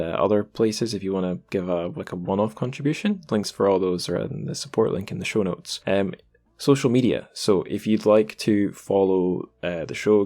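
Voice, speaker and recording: A man's voice: deep voice, vocal fry, a little mellow. A British man hosting a podcast, talking casually and conversationally with self-aware asides. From United Kingdom. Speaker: podcast host.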